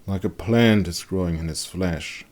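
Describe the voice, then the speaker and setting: A man's voice: sits low, measured pace, a little mellow. A man voicing a shaken but capable village woman who tends the wounded in a fantasy story, grave and firm. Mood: horrified.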